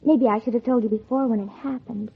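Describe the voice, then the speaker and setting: A woman's voice: a little high, steady tone, quick pace, gravelly, slightly rough. An actress playing a spiteful, scheming teenage girl in a 1940s radio drama, by turns falsely innocent and coldly bitter. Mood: feigned shame. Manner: feigned sweet innocence turning coldly bitter. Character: scheming, spiteful teenage girl narrating her own revenge. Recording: muffled, tinny old 1940s radio recording.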